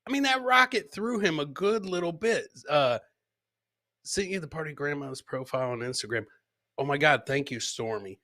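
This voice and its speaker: A man hosting a live comedy show, joking crudely about video clips in an animated way and repeating his lines for emphasis. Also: fairly high pitch, moderate pace. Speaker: podcast host.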